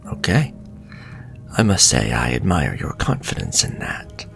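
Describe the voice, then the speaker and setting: A man playing a suave, flirtatious, sinister warlock shopkeeper in a fantasy ASMR roleplay, speaking softly and courteously and drifting into breathy whispers. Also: very deep voice, wildly swooping pitch, a little slow, faint music behind, heavily acted. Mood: sly, bargaining.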